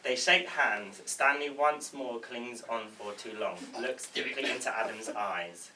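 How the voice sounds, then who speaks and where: A man's voice: mid-range voice, a little slow. A man reading stage directions as scripted narration at a table read. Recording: echoey room, distant mic, faint noise.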